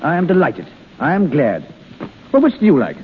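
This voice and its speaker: An actor playing an effusive, fussy, self-pleased company boss in a 1930s radio play. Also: male voice, fairly high pitch, wildly swooping pitch, moderate pace, slight background noise. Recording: echoing old radio broadcast, narrow band.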